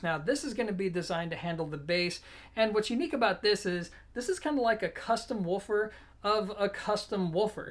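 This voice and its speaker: A product reviewer hosting an audio gear video. The voice sits at a middle pitch. The delivery is informal and explanatory, speaking straight to the viewers. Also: a bit bright. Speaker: product reviewer and show host.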